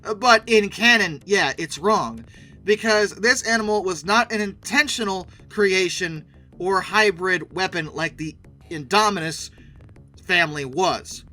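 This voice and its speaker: A man's voice: high voice, unhurried, pushed and bright, soft background music. A man giving casual video commentary in a sarcastic, opinionated, emphatic way. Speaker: YouTube commentator and host.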